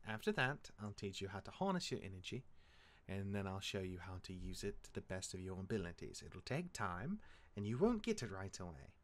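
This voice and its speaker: A man acts out an easygoing young-hearted god and reluctant mentor in a fantasy audio drama. His low voice swings widely in pitch as he rambles and teases like a cocky older brother. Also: sing-song, brisk. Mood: instructive. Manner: casual, rambling, teasing. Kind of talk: fantasy audio roleplay acting.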